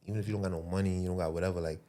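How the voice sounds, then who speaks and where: A man's voice: low voice, steady tone, rapid-fire, dark tone. A man, chatting casually as a podcast guest with loose street slang and lots of fillers. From Brooklyn, New York. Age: 20s. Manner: loose, filler-heavy street slang, says bro a lot. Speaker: guest entrepreneur.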